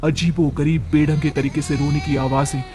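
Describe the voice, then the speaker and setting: A man's voice: on the high side, flat delivery, moderate pace, over music. A man narrating a scripted story in a dramatic storytelling style.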